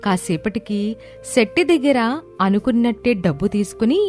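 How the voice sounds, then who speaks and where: A woman's voice: medium pitch, expressive, moderate pace, darkish tone, soft background music. A woman giving scripted narration of a moral story as its storyteller.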